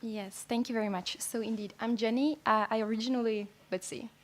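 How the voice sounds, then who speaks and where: A young woman from Finland presenting survey findings at a conference, measured and with frequent fillers. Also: on the high side, fairly even intonation.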